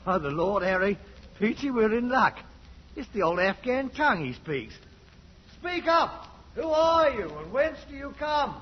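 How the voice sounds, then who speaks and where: A man's voice: very high voice, big pitch swings, a little slow, full character voice. An actor playing a bold, domineering soldier-adventurer out to be king, commanding and boastful in 1940s radio drama style. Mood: masterful, imperious. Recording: muffled, faintly noisy 1940s radio recording.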